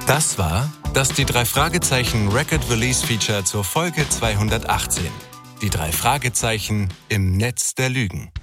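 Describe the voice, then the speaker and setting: Male credits announcer over loud music. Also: mid-range voice, animated, a little slow. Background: loud guitar music.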